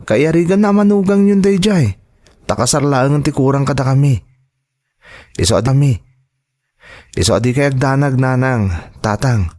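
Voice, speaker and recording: A man's voice: mid-range voice, a little slow, long pauses, a little mellow, natural voice. A man with an Ilocano accent acting a trusting, devoted young son and partner in an audio drama, speaking earnestly and reassuringly.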